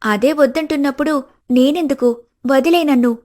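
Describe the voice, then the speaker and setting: An actress plays a young woman in a cartoon story, coy and materialistic, with a cutting tongue. Her voice is clearly high. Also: moderate pace, a little mellow, close to an everyday voice. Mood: scornful. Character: coy, teasing, money-minded, scornful young woman. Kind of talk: scripted cartoon story dialogue.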